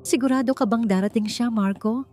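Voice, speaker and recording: A nervous, desperate young woman in a storybook drama, acted by a woman narrator, pleading in a raised pitch over faint music. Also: a little fast, darkish tone, lightly acted. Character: anxious pregnant woman accomplice, desperate. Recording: music behind the voice.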